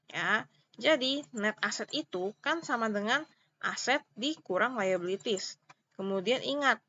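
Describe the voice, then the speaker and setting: A woman's voice: mid-range voice, sharp tone, muffled recording. A woman with an Indonesian accent giving an informal step-by-step tutorial as a lecturer, repeating points and checking understanding with short questions. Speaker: accounting lecturer.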